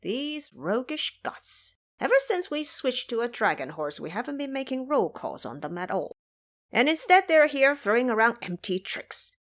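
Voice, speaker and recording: A brash monkey hero of an audio drama, acted out by a woman. The voice sits raised and swings widely in pitch. He scolds and gives orders with complete self-assurance. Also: sing-song, moderate pace. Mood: scornful. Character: Xing Zhe, brash, cocky male monkey disciple.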